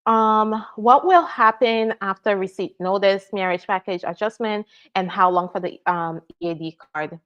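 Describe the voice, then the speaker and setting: A woman from Nigeria who works as an immigration attorney, answering viewer questions live at a middle pitch. She explains things step by step and checks in often with "right?". The sound is a little dull and muffled. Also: normal speed, hardly any pauses, a bit bright. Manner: explanatory, frequent "right?" and "all right?" check-ins.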